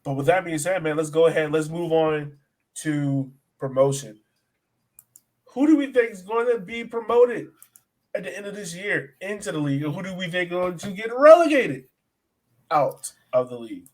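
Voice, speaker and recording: A man's voice: high-pitched, expressive, moderate pace, a little mellow, rough recording. A man hosting a sports podcast in a casual, chatty style.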